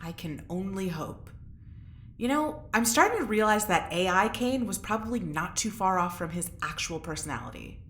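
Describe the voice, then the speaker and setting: An actress playing an anxious, sharp-tongued young woman in a sci-fi audio drama, speaking bluntly and informally. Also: medium pitch, big pitch swings, moderate pace. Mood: wry, weary. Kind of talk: audio drama acting, naturalistic dialogue. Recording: roomy echo, faint background noise.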